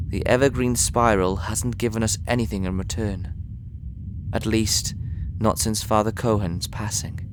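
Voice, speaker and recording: Man playing a slow, low, brooding narrator. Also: noisy background. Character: brooding, guilt-haunted village man narrating his dark confession. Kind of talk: scripted first-person narration in an audio drama.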